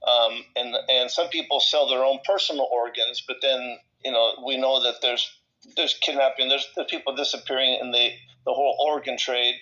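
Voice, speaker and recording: A man from El Salvador talking casually and off the cuff in a group discussion, in a halting, rambling way with repeated words and broken-off thoughts. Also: mid-range voice, fairly even intonation, pushed and bright. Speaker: discussion participant. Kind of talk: casual, impromptu personal talk in a group discussion. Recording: slightly dull, lo-fi audio.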